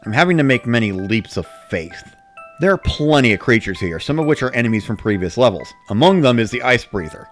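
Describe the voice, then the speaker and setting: A man's voice: medium pitch, very animated, fairly quick, soft background music. A man giving a scripted first-person narration, like a diary of playing a video game. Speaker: narrator of a dramatic reading.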